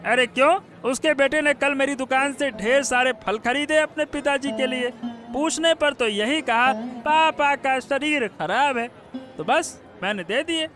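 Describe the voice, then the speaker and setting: Guy doing a gossipy gullible village fruit seller, high slow voice, cartoon story with music. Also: bright, forceful tone, exaggerated voice. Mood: gossipy, surprised.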